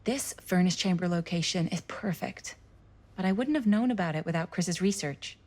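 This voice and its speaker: A woman playing an earnest, teasing young amateur screenwriter in a horror game, with a husky voice. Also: on the low side, expressive, moderate pace, a little mellow. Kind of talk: naturalistic horror game dialogue.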